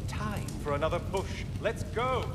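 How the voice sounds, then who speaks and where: A man's voice: high-pitched, animated, a little slow, heavy background noise. A man playing a sarcastic, talkative severed head of a sun god in a video game, theatrical and wordy. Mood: agitated, pleading. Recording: game audio with loud music behind.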